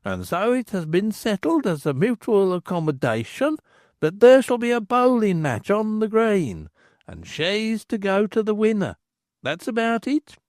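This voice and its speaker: A blustering rustic town crier, pitched well up and swinging all over the place, as a male reader puts him on. He calls out in a sing-song and huffs at the crowd. The sound is dry and close. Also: sing-song, a little slow, darkish tone, no room sound. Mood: gossipy.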